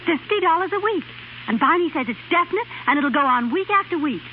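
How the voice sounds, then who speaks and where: A woman's voice: extremely high pitch, expressive, fast. A woman acting a determined, eager young wife in a 1930s radio drama. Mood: elated. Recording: tinny, hissy 1930s radio recording.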